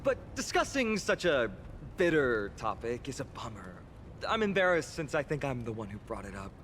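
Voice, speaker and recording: A man's voice: mid-range voice, big pitch swings, moderate pace, a bit bright, slight background noise. A man playing an earnest, courteous young soldier hero in a video game. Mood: friendly, lighter. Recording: game music under the voice.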